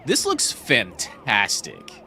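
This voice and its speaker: A man's voice: medium pitch, wildly swooping pitch, drawn-out words, sharp tone, a little hiss or hum. A man with a stuffy nose giving casual, chatty commentary while playing a game, swearing and incredulous.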